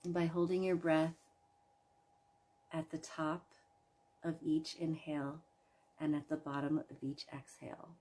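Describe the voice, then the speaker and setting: A woman leading a guided imagery relaxation in a soothing, gentle manner, leaving long pauses between phrases. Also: low-pitched, level tone. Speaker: guided meditation host.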